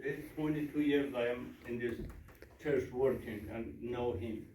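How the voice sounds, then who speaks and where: Persian-accented man, a minister giving a slow workshop talk in broken English, recorded from afar in an echoey room. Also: mid-range voice, mellow. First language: Farsi. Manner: broken English, repeats questions to the audience. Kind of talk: workshop talk with audience questions. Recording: echoey room from a distance, faint noise.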